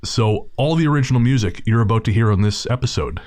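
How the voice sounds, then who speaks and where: A man's voice: medium pitch, lively intonation, fast talker, a little mellow. A man hosting a podcast, casually introducing an episode and speaking to listeners in an informal, slangy way. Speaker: podcast host.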